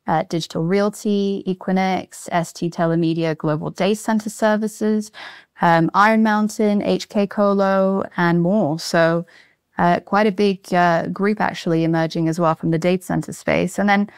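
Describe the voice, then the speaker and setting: Smooth-voiced woman in upbeat podcast chat. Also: on the low side, steady tone, moderate pace, no echo, clean studio sound. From Asia (born in Singapore, grew up in Hong Kong and Japan). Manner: upbeat, reels off long lists of names with light laughs. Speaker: managing director, podcast guest.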